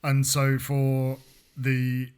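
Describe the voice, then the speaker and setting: A man's voice: medium pitch, monotone, very slow, darkish tone, clean tone. Young man, rambling, restarts, casual swearing, podcast co-host. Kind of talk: casual chat.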